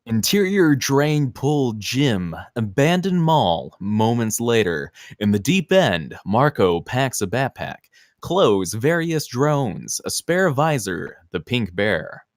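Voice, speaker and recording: A male narrator reads screenplay action in a scripted style. His pitch is medium and moves around in a lively way. The recording is dry, with little room sound. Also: slow pace, no echo. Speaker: narrator reading stage directions.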